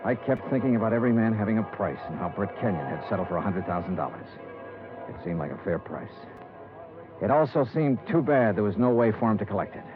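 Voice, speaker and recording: An actor playing a tough, sardonic insurance investigator in a 1950s radio detective drama, clipped and wisecracking. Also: male voice, lowish voice, lively intonation, fast, lightly acted. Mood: weary narration. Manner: clipped, wisecracking, hard-boiled. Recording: muffled 1950s radio recording with music.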